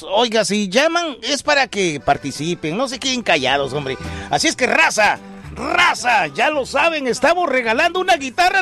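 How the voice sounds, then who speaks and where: A radio host in the studio, joking and firing up his audience with a high, wildly swinging voice. His tone has a gravelly edge, with soft music playing behind him. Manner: joking, animated, hypes listeners. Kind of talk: call-in radio giveaway show.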